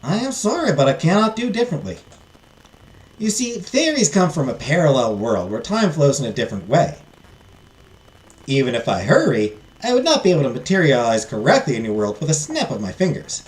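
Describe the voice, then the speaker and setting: A grand, benevolent fairy godmother with a Southern US drawl, acted out by a woman reading a story. The delivery is formal and theatrical, with big swings in pitch. The sound is roomy. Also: sing-song, fairly quick, darkish tone. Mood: firm, cautioning. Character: fairy godmother, benevolent, grand, teasing supernatural female spirit. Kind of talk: storybook character voice.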